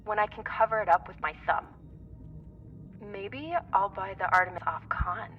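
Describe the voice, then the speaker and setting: A woman's voice: medium pitch, moderate pace, distant mic, highs cut off, a little hiss or hum, natural voice. A woman playing a wry, sceptical space scavenger crewmate in a video game cutscene, with dry delivery. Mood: wry, sarcastic. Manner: dry, sardonic.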